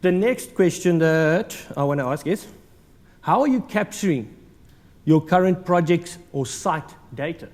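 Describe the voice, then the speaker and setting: A man's voice: fairly high pitch, expressive, unhurried, darkish tone, in a large hall. A man with a South African accent giving a jokey, conversational conference talk, with lots of ums and uhs. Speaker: conference speaker. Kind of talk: conference presentation. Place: large conference hall.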